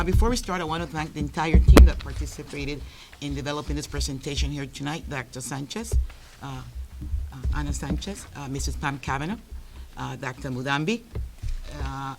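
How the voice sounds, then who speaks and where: A man with a fairly high voice presents to a school board in a formal, halting way, pausing often on fillers. The recording is noisy and picked up by a meeting-room microphone. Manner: many fillers and restarts. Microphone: room microphone, quiet for listeners.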